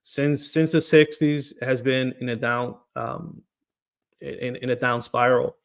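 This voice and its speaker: A man speaks as a candidate in a podcast interview, earnest and steady at a middle pitch. He often stumbles into his phrases by repeating a word before pressing his point. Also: slow pace, gravelly. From Portugal. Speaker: political candidate.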